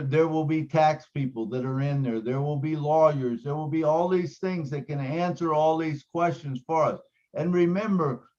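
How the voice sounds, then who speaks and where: A man running an online call meeting in a loose, easygoing way, filling pauses with uh and you know. His voice sits a bit high for a man. The call audio sounds dull and lo-fi. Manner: relaxed, filler-heavy, hedging. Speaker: meeting host. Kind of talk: casual online meeting talk. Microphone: video call.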